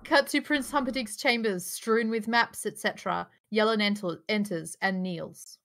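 A woman's voice: medium pitch, fairly even intonation, moderate pace, a bit bright. A woman narrating the stage directions in a live table read of a film script, recorded over a video call. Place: indoors at home, on a group video call.

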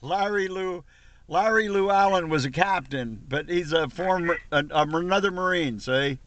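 Older man with a high-pitched voice, rambling. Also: normal speed, a bit bright, no echo. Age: 70s. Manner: rambling, salty-tongued. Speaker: vlogger on a road trip with old Marine friends. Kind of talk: casual chat on a conference call. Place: inside a car. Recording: noisy camcorder audio inside a car.

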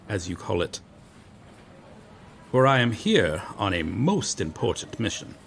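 A man's voice: fairly low pitch, gravelly, a little hiss or hum, full character voice. A man playing a noble, earnest medieval knight lost in modern times in an audio roleplay, speaking in formal, archaic, solemn phrases. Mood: earnest, grave. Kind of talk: ASMR audio roleplay, dramatic monologue.

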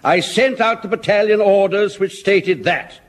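An actor playing a brash, boastful captain in a radio sitcom. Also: male voice, high-pitched, a little slow. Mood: brusque. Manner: brusque, boastful. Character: brash, boastful male captain, a veteran of an earlier war. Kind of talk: 1970s radio sitcom acting.

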